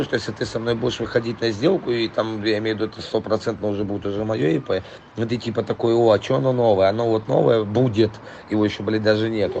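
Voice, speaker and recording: A hoarse man rambling profanely in a casual voice message to a client, full of fillers. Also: fairly low pitch, a little mellow, highs cut off, a little hiss or hum. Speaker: trailer import dealer.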